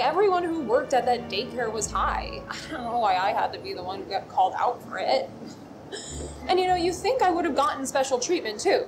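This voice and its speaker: A young American woman rambles through a voicemail in a comedy short. Her voice sits a little high and she takes her time, hesitating and then veering into indignant, foul-mouthed asides. Music plays clearly behind her. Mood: indignant, flippant. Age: young adult.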